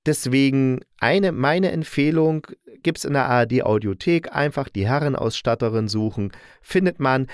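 A man gives an informal spoken review as a podcast host. His pitch is mid-range and the sound is dry and close. He talks chattily, often rephrasing himself. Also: clear, resonant tone, dry recording. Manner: chatty, self-correcting.

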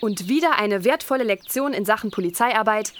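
A woman's voice: mid-range voice, lively intonation, brisk, a bit bright, natural voice. A woman acting in a crime radio play as an experienced policewoman, dry, teasing and condescending. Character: dismissive, teasing experienced policewoman mentoring an intern. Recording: faint background noise.

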